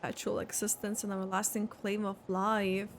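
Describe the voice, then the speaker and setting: A woman's voice: medium pitch, level tone, normal speed, faint music behind. A woman gaming streamer giving casual, murmured reactions as she plays. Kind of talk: casual live game reaction.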